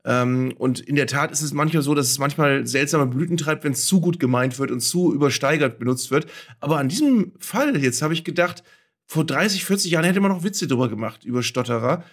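Guy, middle aged, fairly high voice, chatty thoughtful football co-host. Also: expressive, fairly quick. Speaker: podcast co-host. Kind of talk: casual football discussion.

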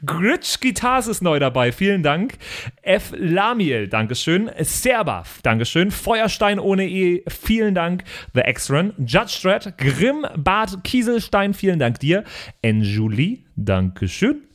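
A man with a fairly high voice and very lively, swinging intonation. He hosts a podcast in an informal, joking way, chatting casually and addressing his listeners. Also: slow pace. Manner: jokey, informal. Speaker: podcast host and player. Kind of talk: casual banter and listener thank-yous.

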